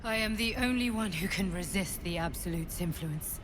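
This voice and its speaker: A woman playing a weary, solemn psychic guardian in a video game, grave and earnest with a hushed, breathy edge. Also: fairly low pitch, normal speed, background noise, natural voice. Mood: grave, urgent. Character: weary, solemn psychic female guardian bearing a heavy burden. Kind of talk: dramatic video game acting.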